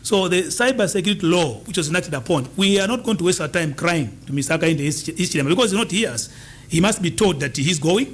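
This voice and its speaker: High-voiced emphatic man. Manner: emphatic, campaigning, repeats key points. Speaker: political party leader as studio guest. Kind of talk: political interview answers.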